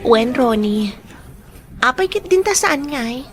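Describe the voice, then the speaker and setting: A woman acting a lonely, modest young office worker who speaks softly and shyly in a radio drama. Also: high voice, animated, measured pace. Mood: gentle.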